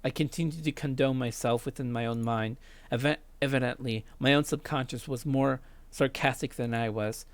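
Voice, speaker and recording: A man's voice: mid-range voice, steady tone, moderate pace, dry recording. A man reading a visual novel aloud as a let's player, with dramatic narration. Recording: loud music under voice. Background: loud game music.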